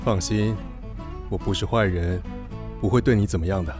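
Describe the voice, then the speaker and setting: A composed man , played by an actor, speaks reassuringly as a stranger in a radio drama. His voice is on the low side, with clear guitar music playing behind it. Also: moderate pace, lightly acted. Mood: calm, reassuring. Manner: calm, reassuring. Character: composed, reassuring male stranger driving a car.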